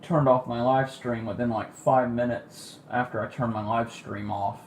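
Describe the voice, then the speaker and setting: A man's voice: mid-range voice, fairly even intonation, normal speed. An American man giving casual, informal commentary as he hosts a weather livestream from a room at home. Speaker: livestream host, amateur storm watcher. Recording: noisy amateur livestream audio.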